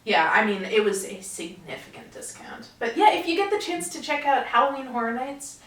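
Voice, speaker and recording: A young woman co-hosting a casual podcast, chatty and quick to laugh. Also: on the high side, sing-song, fairly bright tone, recorded from a distance. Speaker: podcast co-host. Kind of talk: casual chat. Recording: echoey room, voice off-mic.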